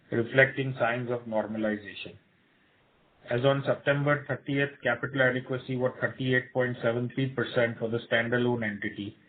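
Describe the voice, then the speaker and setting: A man with an Indian accent, a company executive reading out a financial report in a formal, measured manner. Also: mid-range voice. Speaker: company executive presenting results. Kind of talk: scripted financial report on an earnings call. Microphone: telephone conference line. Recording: tinny narrow phone line.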